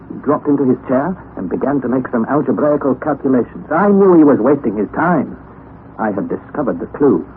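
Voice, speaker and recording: A young actor playing a wry, anxious nephew who narrates the story, in a 1960s radio drama on a tinny, lo-fi broadcast recording. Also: male voice, medium pitch, a little hiss or hum, close to an everyday voice. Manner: earnest storytelling, rising to excited outbursts.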